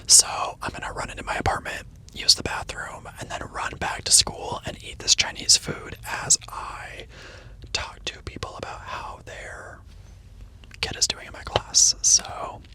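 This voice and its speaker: A man who teaches, telling a casual rambling story in a soft unvoiced whisper from a parked car, with the mic on the dashboard. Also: poor audio. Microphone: microphone on the dashboard.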